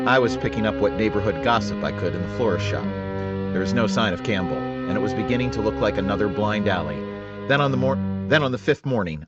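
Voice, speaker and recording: A man plays a terse police sergeant with a lowish voice, narrating in clipped, matter-of-fact sentences. The sound is dull and lo-fi, with music running clearly underneath, like an old radio drama. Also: natural voice. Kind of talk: 1940s radio drama acting.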